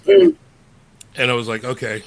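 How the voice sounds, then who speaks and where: A man's voice: mid-range voice, wildly swooping pitch, unhurried, slight background noise. A man co-hosting a podcast, telling a rambling anecdote in a loose, slangy way. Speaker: podcast co-host. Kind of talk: casual storytelling chat.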